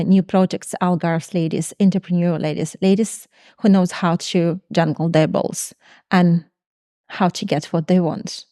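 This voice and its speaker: Woman, podcast host, mellow tone, dry close sound, casual non-native english. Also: fairly low pitch, dry recording. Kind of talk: casual interview. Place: Portugal.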